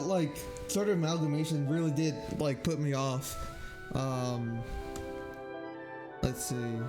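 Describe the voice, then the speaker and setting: Slow-talking, higher-voiced man streaming casually. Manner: hesitant, halting, thinking aloud. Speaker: game streamer. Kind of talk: casual livestream chat, rambling review.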